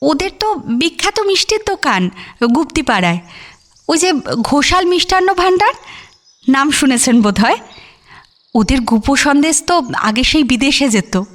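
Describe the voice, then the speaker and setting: A woman's voice: high-pitched, sing-song, normal speed, pauses often. A woman playing a friendly, talkative married daughter, effusive in her audio drama dialogue. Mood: chatty, earnest.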